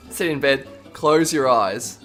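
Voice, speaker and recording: A man's voice: on the high side, creaky, sharp tone. A man co-hosting a casual podcast, rambling through absurd theories and laughing often. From Australia. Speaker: podcast co-host. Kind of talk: casual chat.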